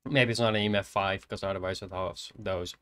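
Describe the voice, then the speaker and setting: An eighteen-year-old man with a Dutch accent, quietly thinking aloud as he streams a horror game from his computer desk. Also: low voice, steady tone, a little fast, smooth. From Netherlands. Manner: soft-spoken, hesitant, thinking aloud. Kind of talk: casual gameplay commentary. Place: indoors at a computer.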